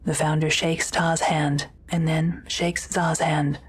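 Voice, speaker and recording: A woman's voice: sits low, level tone, takes it slowly, husky. A woman reading scripted present-tense narration for an audio drama, as the narrator.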